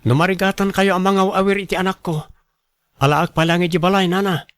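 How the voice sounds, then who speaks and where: High-voiced, earnest young father, acted by a man. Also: level tone, a little fast, fairly bright tone, dry recording. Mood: earnest, caring. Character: earnest young father, responsible, devoted to his child. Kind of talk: radio drama acting.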